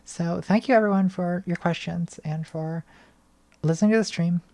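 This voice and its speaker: Young woman, casual livestream Q&A, slightly hoarse, hesitant, lots of ums, studio mic, small bedroom. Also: lowish voice, normal speed, mellow. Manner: thoughtful, hesitant, many restarts and ums. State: voice getting hoarse. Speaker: streamer answering patron questions. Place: small bedroom lit purple. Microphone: studio mic on arm, front of frame.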